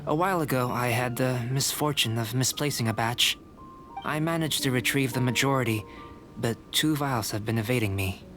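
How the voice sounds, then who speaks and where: A man voicing a calm, courteous alchemist researcher in a video game, speaking in a measured, formal, articulate way. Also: mid-range voice, over music, lightly acted. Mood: composed, dry.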